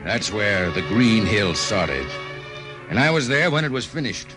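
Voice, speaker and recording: A man's voice: fairly low pitch, sing-song, a little slow, over loud music. An actor playing a seasoned spaceship crewman who narrates a nostalgic tale in dramatic 1950s radio style. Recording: vintage 1950 radio recording.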